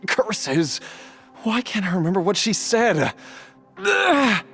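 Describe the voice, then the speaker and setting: A man's voice: high-pitched, wildly swooping pitch, slow, pushed and bright, faint music behind, heavily acted. A male actor playing a troubled, earnest young man with lost memories in a video game. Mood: frustrated.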